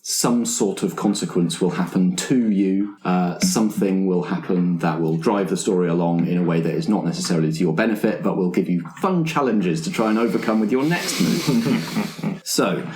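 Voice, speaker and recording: Low-voiced man explaining game rules, roomy sound. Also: normal speed, a little mellow. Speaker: game master and host. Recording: roomy, echoing room sound.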